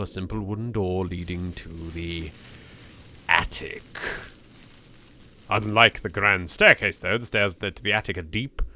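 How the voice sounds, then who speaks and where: A man's voice: deep voice, sharp tone, a little hiss or hum. A British man doing a let's play, reading horror game text aloud with theatrical expression and dropping in his own sweary asides. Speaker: video game let's player.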